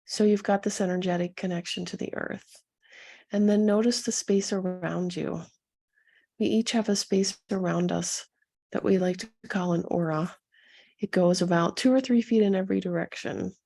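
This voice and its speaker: A woman leading a guided meditation as a coach, speaking softly and soothingly to her listeners with a gentle, husky delivery. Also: medium pitch, fairly even intonation. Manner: soothing, gentle, unhurried instruction with pauses.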